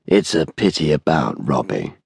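A man voicing a short, stout villain, smoothly menacing, with an educated English accent. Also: deep voice, animated, measured pace, a little mellow, no room sound, studio quality, full character voice. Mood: smooth menace.

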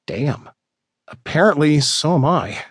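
A man's voice: a little high, animated, moderate pace, dry recording, clean studio sound. A man voicing a weary, wry grown man who sounds exasperated and mutters his replies.

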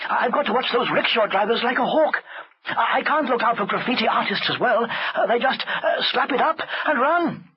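Man putting on a high, cheeky young boy. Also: lively intonation, moderate pace, breathy edge, muffled recording, heavily acted. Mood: feigned innocence. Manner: glib, innocent-sounding, chatty. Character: cheeky, scheming young servant boy, breezily innocent. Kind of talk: storybook character voice.

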